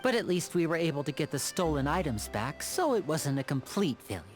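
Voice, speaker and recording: A woman playing a confident, playful young male stage magician in a video game, with a smooth, teasing, showmanlike manner. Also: low-pitched, sing-song, a little fast. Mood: conceding. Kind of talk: video game character acting. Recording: background music under the voice.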